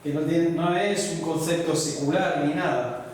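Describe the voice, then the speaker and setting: A man's voice: on the high side, steady tone, moderate pace, darkish tone, far from the mic, slight background noise. Lecturing man heard from afar in echoey hall. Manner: explanatory, frequent "¿no?" tag questions. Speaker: university lecturer. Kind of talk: classroom discussion lecture. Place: large echoey classroom.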